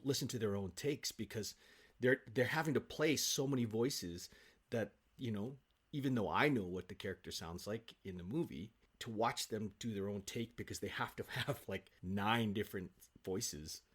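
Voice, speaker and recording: A man speaking as an actor guest in a casual podcast conversation, effusive and animated in his storytelling. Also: lowish voice, moderate pace, slightly rough.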